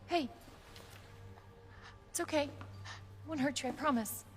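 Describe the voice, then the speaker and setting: A woman's voice: sits high, slow pace, in a large hall, faint music behind. An actress with an American accent playing a brave, caring young woman survivor in a horror video game, earnest and casually wisecracking. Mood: gentle, reassuring. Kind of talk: naturalistic video game cutscene acting.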